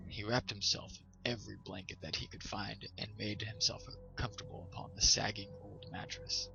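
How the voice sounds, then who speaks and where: A man's voice: lowish voice, gravelly. A man hosting a storytime show, reading and presenting to listeners as the narrator. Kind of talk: scripted ghost story narration. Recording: slightly dull sound, music behind. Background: background music.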